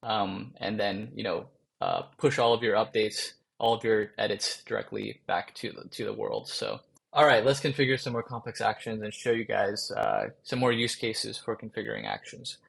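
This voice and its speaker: A man presenting a software workshop, talking casually through a screen-share with many fillers, his voice getting rough after a long day of calls. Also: on the low side, animated, normal speed. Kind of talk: screen-share coding tutorial.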